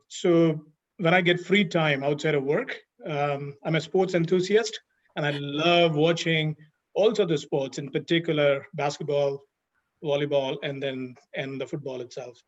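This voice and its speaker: A middle-aged man speaks on a webinar panel over a video call, his voice sitting a little high for a man. He explains at length in a steady way, leaning on "you know" as he goes. The sound is dull and muffled.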